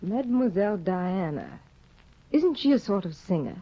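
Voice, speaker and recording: An actress playing a cold, disdainful aristocratic young wife in a 1950 radio drama, cool and clipped, on a dull old broadcast recording. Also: female voice, medium pitch, big pitch swings, normal speed, breathy edge. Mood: cutting. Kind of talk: 1950s radio drama acting.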